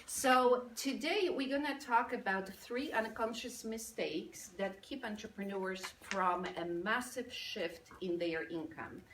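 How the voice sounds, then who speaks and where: A woman's voice: medium pitch, takes it slowly, hardly any pauses, a little hiss or hum. Polish-accented woman addressing a crowd. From Poland. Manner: lively, audience-engaging. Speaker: speaker. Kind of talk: motivational business talk. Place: event room with audience. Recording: echoey room from a distance.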